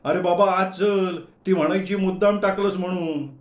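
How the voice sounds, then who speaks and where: Fretful timid young man, pitched up, anxious pleading, done by a male reader; echoey room. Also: moderate pace, natural voice. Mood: frightened. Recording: roomy echo.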